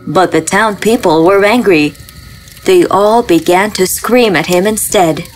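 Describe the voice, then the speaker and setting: A woman's voice: mid-range voice, a little slow. A woman giving scripted narration of a fairy tale as the storyteller. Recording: music behind the voice.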